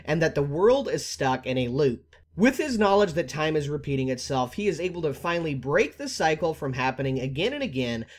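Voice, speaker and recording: A man with a fairly high voice narrates scripted gaming explainer videos. His delivery is animated and emphatic, with big swings in pitch and formal phrasing. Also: brisk, few pauses. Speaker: video game lore YouTuber.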